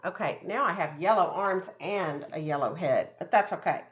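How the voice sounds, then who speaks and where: A woman's voice: lowish voice, moderate pace, highs cut off. A woman art teacher talking children through a craft step by step in a chatty, encouraging, casual way. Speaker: art teacher giving a demonstration.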